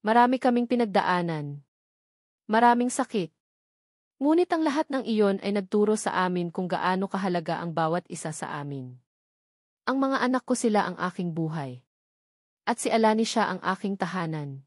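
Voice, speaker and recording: A woman voicing a calm, resolute, devoted man who speaks clearly, steadily and sincerely in a romance story. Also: mid-range voice, normal speed, pauses often, no echo, studio quality, close to an everyday voice.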